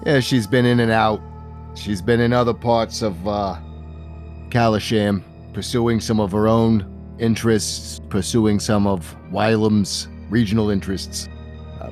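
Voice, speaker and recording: A man's voice: on the low side, fairly even intonation, moderate pace, darkish tone. A man improvising narration and dialogue as game master of a tabletop role-playing game, with music behind him.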